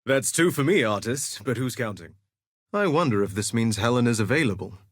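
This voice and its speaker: An actor playing a vain, flirtatious gladiator duelist in a video game, with a theatrical, suave and sardonic delivery. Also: male voice, medium pitch, lively intonation, brisk, no echo, clean studio sound, natural voice. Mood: wry, amused. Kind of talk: scripted game voice lines, theatrical quips.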